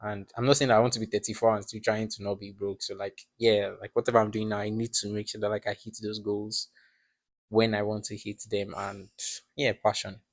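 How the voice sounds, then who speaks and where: Low-voiced young man, rambling. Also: level tone, brisk, no echo. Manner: rambling, filler-heavy, reflective. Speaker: podcast host. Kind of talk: casual chat.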